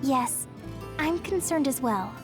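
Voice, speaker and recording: A woman's voice: high voice, lively intonation, takes it slowly. An actress voicing a polite, cautious and concerned young woman squad member in an English-dubbed anime game. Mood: subdued. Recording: game music behind the voice.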